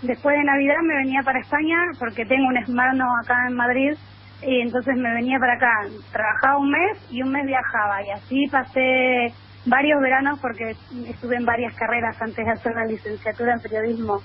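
An Argentine woman in her thirties with a high, bright voice and an accent that blends Argentine and Spain Spanish. She talks eagerly and at length, in lively bursts. The line sounds muffled and lo-fi, with faint noise behind her. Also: brisk. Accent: Argentine with Spanish (Spain) mix. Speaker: guest columnist. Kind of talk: phone interview.

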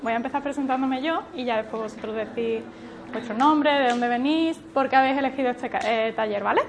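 A woman's voice: sits high, brisk, faint music behind. A woman from Málaga leading an informal group workshop, encouraging people and prompting them with questions. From Málaga, Spain. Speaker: workshop leader.

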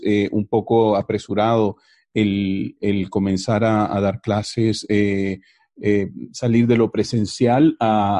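A man teacher speaking slowly and hesitantly with many fillers on a video call. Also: lowish voice, mellow.

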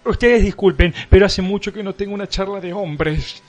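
An Argentine man playing a smug, unflappable aristocrat with a secret cause in a comic radio play, wry and deadpan. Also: sits high, normal speed, faint music behind, lightly acted. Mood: suave.

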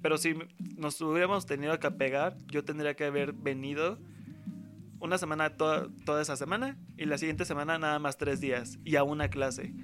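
A man's voice: a little high, a bit bright. A young adult man with a Mexican accent, a university student talking casually in a rambling, slangy way and acting out what others said. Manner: slangy, rambling, acts out quoted speech. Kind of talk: casual conversation.

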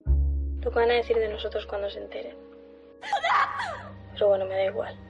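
A woman's voice: medium pitch, flat delivery, normal speed, vocal fry, lightly acted. An actress from Spain playing a defiant woman in a dramatic series trailer. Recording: muffled trailer audio under loud music.

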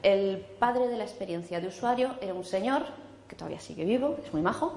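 A woman's voice: mid-range voice, animated, fairly quick, darkish tone, roomy sound. A woman in her forties with a Spanish accent, giving a casual conference talk, chatty with many fillers and restarts. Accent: Spanish from Zaragoza. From Spain. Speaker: conference speaker. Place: conference hall.

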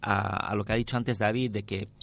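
A man in a book club discussion, laying out an analytical point slowly and carefully. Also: fairly low pitch, fairly even intonation, vocal fry, a bit bright. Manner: measured and analytical, builds his point slowly. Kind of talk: casual discussion.

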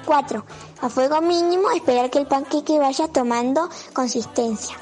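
High-pitched Argentine woman reading to children. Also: moderate pace, a little mellow, faint music behind. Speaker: children's radio show presenter. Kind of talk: reading a recipe aloud to children.

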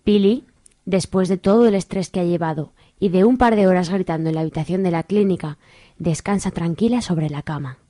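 A woman's voice: fairly low pitch, fairly quick, dark tone, dry recording. A woman narrating a radio serial in a scripted storytelling style.

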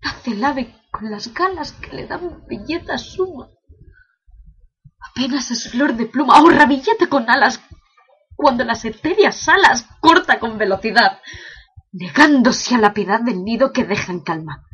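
A woman's voice: fairly high pitch, expressive, unhurried, fairly bright tone, breathy edge, muffled recording, heavily acted. A woman playing an anguished imprisoned prince in a declamatory, impassioned classical verse monologue, bitterly questioning heaven. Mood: wounded, yearning.

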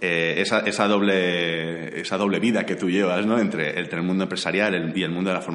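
A man with a low voice and an accent from Spain hosts a podcast interview. He is a little hoarse, as if with a head cold. His words ring through a large, echoey room with a long reverb. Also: fairly even intonation, a little fast, fairly bright tone. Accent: Spanish, from Spain. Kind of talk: conversational interview. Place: large borrowed event room, indoors. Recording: echoey, chapel-like reverberant hall.

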